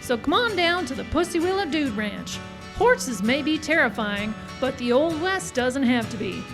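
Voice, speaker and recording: A woman delivering a cheery, comic sales pitch in the style of a radio advertisement. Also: sing-song, moderate pace, music in the background.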